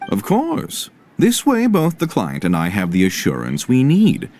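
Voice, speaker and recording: A man voicing a polite, fussy merchant in an anime game, with formal, deliberate phrasing. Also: mid-range voice, huge pitch swings, a little mellow. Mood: assured. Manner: formal, courteous, deliberate, theatrically inflected. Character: polite, fussy, self-assured male merchant.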